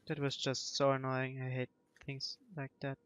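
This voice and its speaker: Young man, clear smooth voice, gaming chat. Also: mid-range voice, a bit bright, dry recording. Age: young adult. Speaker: gamer commentating co-op strategy play. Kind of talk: casual gameplay chat. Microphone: headset microphone. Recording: slightly dull, dry headset audio.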